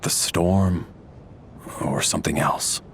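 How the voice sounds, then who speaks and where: Brief: a by-the-book army major, low voice, faint hiss. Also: male voice, slow pace, vocal fry, exaggerated voice. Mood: stern. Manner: stiff, formal, by-the-book. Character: stern, formal military officer man. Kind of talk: game voice acting.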